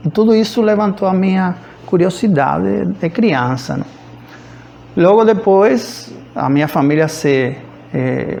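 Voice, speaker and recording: A man's voice: fairly high pitch, creaky, darkish tone, slight background noise. A man of about fifty from rural Cuba with a Cuban accent, a native Spanish speaker, telling his life story informally and hesitantly, searching for words. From rural central Cuba. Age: around 50. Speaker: physics professor. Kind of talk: informal autobiographical account.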